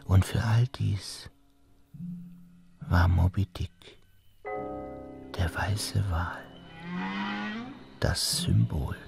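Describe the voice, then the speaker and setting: A man's voice: low-pitched, steady tone, very slow, creaky, long pauses, music in the background, full character voice. A man playing an ominous, brooding narrator in a radio play, half-whispering portentous short phrases.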